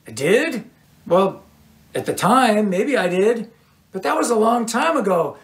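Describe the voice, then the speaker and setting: A woman's voice: medium pitch, very animated, measured pace, pitched above the performer's own voice. A woman voicing a dismissive, aging male rock star with a British accent, speaking offhandedly. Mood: offhand.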